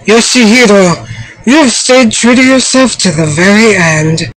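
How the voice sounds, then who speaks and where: A woman voicing a proud, stern young samurai warrior woman for a video game, with formal, haughty delivery on an amateur microphone. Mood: resolute. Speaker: amateur voice actor. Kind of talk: video game character voice reel. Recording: low-quality amateur microphone.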